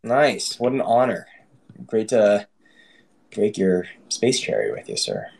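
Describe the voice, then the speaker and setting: A man's voice: on the low side, wildly swooping pitch, slow pace, creaky, darkish tone, slightly rough, rough recording. A man hosting a live audio show, opening it in a friendly, jokey way with plenty of fillers. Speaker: livestream host.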